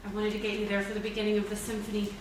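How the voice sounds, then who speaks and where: A woman's voice: medium pitch, completely flat delivery, very fast, lightly acted. A woman playing a helpful, earnest middle-aged office manager in a staged play reading. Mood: apologetic, frustrated. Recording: very echoey hall, distant mic, faint noise.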